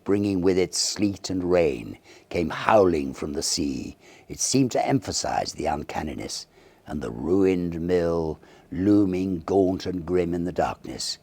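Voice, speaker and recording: A man narrates a Victorian ghost tale in a low, gravelly voice. His delivery is theatrical and measured, with animated swoops and dramatic pauses. He sounds like a classic storyteller at work. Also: no room sound. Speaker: audiobook narrator.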